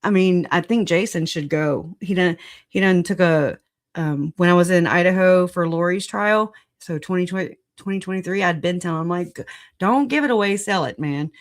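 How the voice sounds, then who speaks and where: A livestream host with a raspy voice, hoarse after a recent illness, chatting casually and laughing a lot at themselves. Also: medium pitch, normal speed. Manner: laughs often, self-deprecating, chatty. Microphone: computer mic on streaming software.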